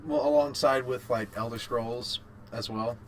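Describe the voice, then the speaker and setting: A man's voice: medium pitch, fairly even intonation, a little slow. A man co-hosting a casual podcast chat about games and films, informal and a little sweary. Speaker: podcast co-host.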